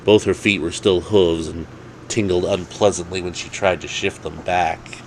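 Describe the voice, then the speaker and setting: A man's voice: sits low, fairly even intonation, moderate pace, a little hiss or hum, rough recording. A man narrating a story in a dramatic storytelling style.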